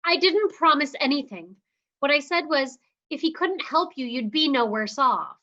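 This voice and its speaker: A woman's voice: high-pitched, moderate pace, bright, forceful tone. A woman voicing an earnest young woman who speaks politely and pleads. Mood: pleading. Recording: dull, lo-fi livestream audio.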